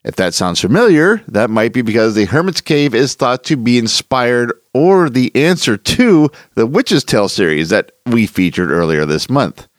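A man's voice: medium pitch, big pitch swings, normal speed, few pauses, no echo, clean studio sound. A man presenting as a host, reading a scripted introduction in a narration style. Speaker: podcast host. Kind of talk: scripted narration introducing old radio horror. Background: music underneath.

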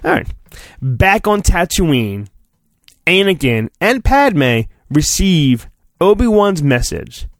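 A man giving a mock-dramatic storybook reading, theatrical in delivery, dropping into goofy, deadpan, sarcastic asides. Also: sits high, slow pace, dry recording, studio quality. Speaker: podcast host.

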